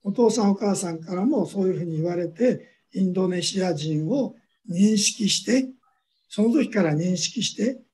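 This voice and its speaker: A man giving a measured academic lecture, pausing often on drawn-out fillers. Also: high-pitched, warm tone, a little hiss or hum. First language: Japanese.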